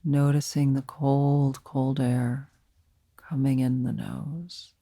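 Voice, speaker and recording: Slow, creaky-voiced woman guiding meditation. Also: extremely low pitch, level tone, dry recording, studio quality. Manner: soft, soothing, unhurried, pausing between phrases. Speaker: meditation teacher. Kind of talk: guided meditation.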